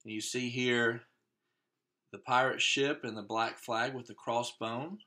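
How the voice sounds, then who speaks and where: Bright-voiced man reading to children. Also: medium pitch, steady tone. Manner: pauses to comment on the pictures. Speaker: elementary school teacher. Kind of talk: children's picture-book read-aloud with casual asides.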